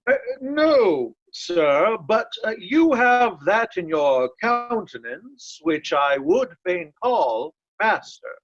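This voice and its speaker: A man's voice: high voice, very animated, slow, few pauses, fairly bright tone, dry recording, full character voice. A man playing a loyal, blunt nobleman disguised as a servant in readers' theatre Shakespeare, earnest and deferential, on a lo-fi recording. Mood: plain, earnest. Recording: dull, lo-fi, dry sound.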